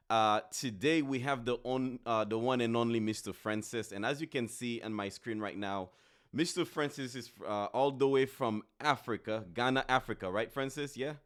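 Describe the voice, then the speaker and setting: A man from Haiti hosting a podcast in an upbeat, chatty way, often repeating words and pausing on fillers. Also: medium pitch, a bit bright. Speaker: podcast host.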